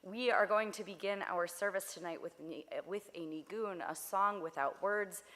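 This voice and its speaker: A young woman with a slightly hoarse voice, leading a worship service in a chatty, friendly way with many fillers. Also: mid-range voice, moderate pace, fairly bright tone. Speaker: service leader. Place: synagogue sanctuary. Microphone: body mic. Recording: long reverb of a sanctuary.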